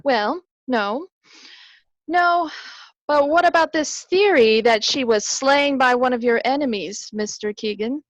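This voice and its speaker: A woman's voice: high-pitched, unhurried, dry recording, rough recording. An actress playing an eager, persistent female sleuth in a radio drama, earnest and probing. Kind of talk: old-time radio drama acting.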